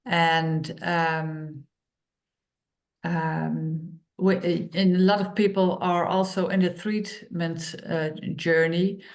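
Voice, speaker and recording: A woman, co-hosting an online workshop and telling stories in plain, unhurried phrases with many pauses. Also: on the low side, little pitch movement. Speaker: workshop co-host and author.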